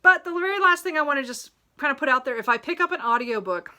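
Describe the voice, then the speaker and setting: A woman's voice: high voice, lively intonation, quick pace, sharp tone. A woman chatting casually about her reading list as a book vlogger, rambling and giggly, often correcting herself and apologizing. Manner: giggles often while talking.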